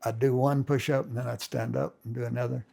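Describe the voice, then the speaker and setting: An older man with a gravelly, creaky voice, telling family stories in a loose, rambling way. His grammar is folksy, and he often stops and restarts his sentences. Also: medium pitch, flat delivery, fairly quick, warm tone. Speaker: family storyteller. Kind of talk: casual spoken reminiscence.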